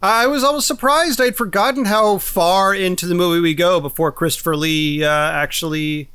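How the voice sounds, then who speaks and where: A man's voice: high-pitched, normal speed, bright, forceful tone, smooth, no room sound. A man co-hosting a casual film discussion, articulate and careful to qualify his points. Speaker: podcast co-host.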